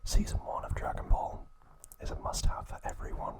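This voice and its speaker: A man hosting an ASMR video, speaking in a breathy, hushed whisper with deliberate, separated phrases, close to the microphone. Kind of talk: ASMR, whispered trivia and show-and-tell.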